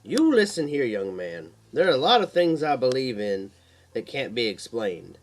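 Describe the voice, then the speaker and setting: A man's voice: medium pitch, very animated, normal speed. A man voicing an indignant, insistent older female nurse in a story, scolding and questioning. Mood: stern.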